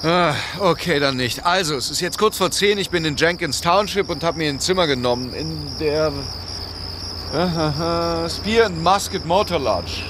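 A man's voice: on the high side, moderate pace, natural voice. An actor playing a fond, wry male traveller leaving a phone message in a radio drama. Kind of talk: naturalistic radio drama acting. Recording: outdoor night noise, chirping crickets.

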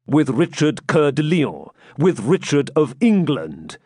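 A man's voice: mid-range voice, very animated, normal speed, darkish tone, no echo, studio quality. A man voicing a grim, battle-worn medieval knight with solemn, emphatic archaic speech. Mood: insistent.

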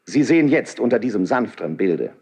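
Young nobleman, husky, wide-ranging intonation, idealistic, fervent, proud, rhetorical verse declamation, 1970s radio play. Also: on the low side, very animated, brisk, a little mellow, full character voice. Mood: earnest. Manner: impassioned, rhetorical stage declamation.

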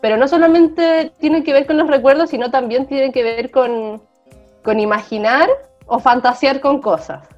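A woman's voice: sits high, moderate pace, a little mellow. A woman with a Chilean accent co-hosting a podcast, explaining things in a casual, chatty way. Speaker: podcast co-host. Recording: dull lo-fi audio, music underneath.

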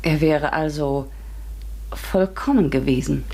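Thoughtful teacher lady in a radio play, lowish voice, earnest, kind of wondering, measured delivery. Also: gravelly, mellow, heavily acted, low-quality recording. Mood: searching, earnest. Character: reflective female schoolteacher recounting a mysterious encounter. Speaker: narrator and lead actress. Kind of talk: literary German radio drama acting.

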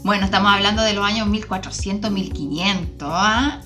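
A woman's voice: mid-range voice, fairly quick, sharp tone, faint music behind. A woman with a Chilean Spanish accent, hosting a travel podcast in a casual, informal, chatty way. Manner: chatty, informal, prompts her guest with questions. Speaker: podcast host.

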